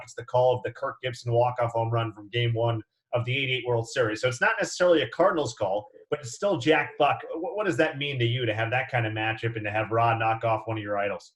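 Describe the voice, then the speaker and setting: A man's voice: mid-range voice, fairly bright tone, rough recording. An American man hosting a conversational sports interview.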